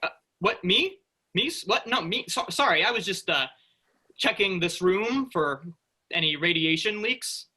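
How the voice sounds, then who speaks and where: Hapless space dreamer for stage comedy, male reader: high, very sharp bright voice, pompous would-be hero. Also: animated, a little slow, breathy edge, full character voice. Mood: flustered. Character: pompous, hapless daydreaming spaceship worker playing heroic captain. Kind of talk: staged comedy play reading.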